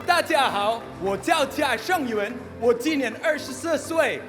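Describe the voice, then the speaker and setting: Very high-pitched young man, big hall. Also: expressive, unhurried. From Philippines. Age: 20s. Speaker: pageant contestant. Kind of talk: staged self-introduction. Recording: reverberant hall with music behind.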